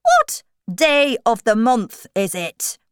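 A woman voicing an eccentric, blunt, irritable man in a children's story, with drawn-out, emphatic words. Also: a little high, wildly swooping pitch, clean tone, no echo, studio quality, pitched above the performer's own voice, exaggerated voice. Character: eccentric, blunt, irritable male Mad Hatter. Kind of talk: slow children's storybook character voice.

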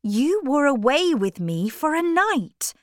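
A woman's voice: sits high, very animated, slow, no room sound, studio quality, pitched above the performer's own voice, heavily acted. A woman playing a desperate young man. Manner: urgent, emotional.

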